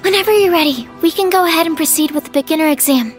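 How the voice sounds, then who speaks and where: A woman's voice: very high-pitched, rapid-fire, music in the background. A woman voicing an earnest, polite, bookish young alchemist in a video game, explaining things carefully and precisely. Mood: encouraging. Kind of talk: video game dialogue acting.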